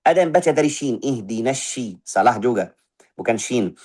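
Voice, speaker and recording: A man teaching Quran recitation, correcting pronunciation by voicing the wrong and right sounds in turn and repeating short syllables slowly. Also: medium pitch, animated, a little mellow. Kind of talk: tajwid lesson with spoken recitation examples.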